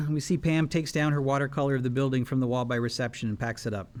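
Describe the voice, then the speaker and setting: A man's voice: mid-range voice, flat delivery, fast, a little mellow. A man reading script stage directions aloud as narration at a table read.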